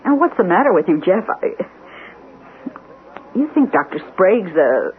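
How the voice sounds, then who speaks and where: An actress playing a practical, concerned and inquisitive wife in an old-time radio mystery drama. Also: female voice, on the low side, very animated, moderate pace. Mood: questioning. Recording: tinny, noisy old radio recording.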